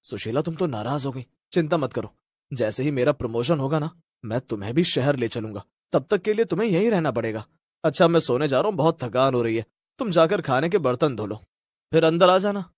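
A man voicing a deceitful, dismissive young husband in a cartoon story, explaining himself in smooth talk. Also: mid-range voice, lively intonation, a little fast, no echo, clean studio sound, close to an everyday voice. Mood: placating. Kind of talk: animated story dialogue.

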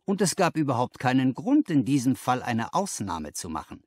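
A man voicing a confident, analytical gentleman detective who lectures emphatically in an audiobook, posing rhetorical questions. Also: mid-range voice, huge pitch swings, normal speed, breathy edge, no echo, studio quality. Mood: instructive. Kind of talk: audiobook character dialogue.

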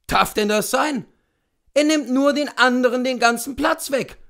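A man reading a story and voicing a shrill, nagging, resentful peasant cook woman who chatters out her complaints. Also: measured pace, creaky, fairly bright tone, exaggerated voice. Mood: scolding, indignant.

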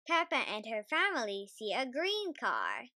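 A woman reading a children's storybook aloud, careful and expressive in her scripted narration. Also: on the high side, a little slow, no echo, clean studio sound. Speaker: storybook reader.

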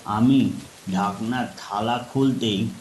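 A man's voice: fairly low pitch, fairly even intonation, slow pace, darkish tone. A man narrating a scripted first-person ghost story. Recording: echoey, faint rain noise behind. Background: rain ambience.

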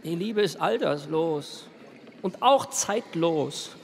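A lovesick devil in a radio play, very high and whiny. He is irritable and full of self-pity, in a long reverb with faint noise behind. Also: expressive, slow pace, vocal fry, full character voice. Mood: defensive, pleading.

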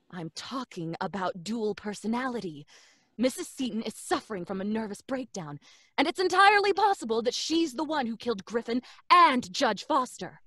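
A woman's voice: on the high side, animated, moderate pace, sharp tone, no echo, studio quality. An actress playing a stern woman doctor, urgent and scolding, in old-time radio style. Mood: insistent. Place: dim home recording space. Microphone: large studio condenser, close.

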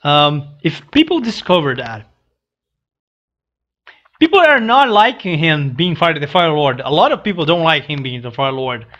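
A young man who is not a native English speaker, giving casual reaction commentary in a halting, hesitant way into a headset mic. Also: a little high, big pitch swings, fairly bright tone. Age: young adult. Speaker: reaction channel host. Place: small room indoors. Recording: dull lo-fi headset audio.

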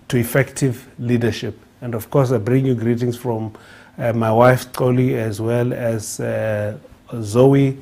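A man's voice: mid-range voice, creaky, mellow. A man in his forties from South Africa with a South African accent, giving an earnest personal talk full of fillers and restarts. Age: 40s. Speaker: guest speaker. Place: studio with printed backdrop.

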